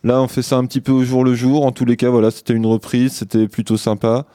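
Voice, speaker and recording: A man hosting a community radio show, chatting casually and sounding tired, pausing often on filler sounds into a studio mic. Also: mid-range voice, level tone, dark tone, smooth, dry recording. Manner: hesitant, many filler pauses. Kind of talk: casual on-air chat. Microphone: studio microphone.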